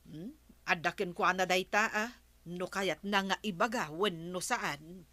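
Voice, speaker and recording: A woman playing a chatty, inquisitive neighbour in an Ilocano comedy drama, expressive and full of exclamations. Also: on the low side, measured pace, dry recording. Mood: gossipy.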